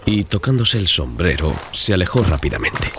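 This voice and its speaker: A man's voice: sits low, very animated, moderate pace, very noisy. A man narrating a dramatized gothic horror audiobook as a scripted reading. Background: loud music and sound effects.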